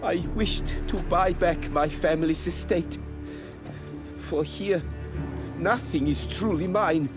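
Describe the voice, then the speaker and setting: Game role: anxious gentleman vintner, slightly high voice, unhurried, formal and obsequious, music behind. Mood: confessing. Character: deferential, anxious male nobleman winemaker, proud yet servile. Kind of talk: video game voice acting.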